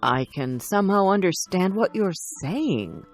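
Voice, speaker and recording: A woman's voice: fairly low pitch, very animated, unhurried, faint music behind, exaggerated voice. A woman voicing a poor, doubtful young man, the miller's son in a children's storybook, in an incredulous, questioning manner. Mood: puzzled.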